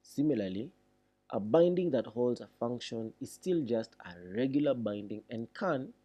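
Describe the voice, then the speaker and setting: A man giving a read-along programming tutorial, reading deliberately and thinking aloud as he explains. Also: mid-range voice, expressive, warm tone.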